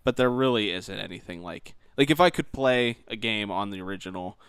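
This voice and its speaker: A man's voice: lowish voice, brisk, fairly bright tone, clean tone, dry recording. A man co-hosting a podcast in a casual, conversational chat style. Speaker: podcast co-host.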